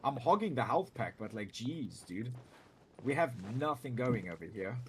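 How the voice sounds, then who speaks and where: A man's voice: medium pitch, very animated, normal speed, slight background noise. A man chatting casually with teammates during a game, loose, slangy and sweary. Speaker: gamer on a team voice chat. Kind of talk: casual gaming banter. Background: video game music.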